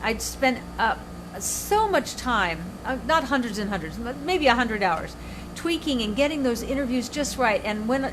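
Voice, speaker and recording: An older woman hosting her show, telling stories in an animated, emphatic way with many restarts. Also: a little high, background noise. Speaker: show host and author. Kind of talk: casual chat.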